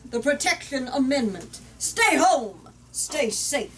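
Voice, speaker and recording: A woman's voice: sits high, sing-song, takes it slowly, a bit bright, full character voice. A woman reading aloud the part of a pompous, alarmist male politician declaiming a formal speech from a storybook. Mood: pompous, stern. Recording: distant mic with background noise.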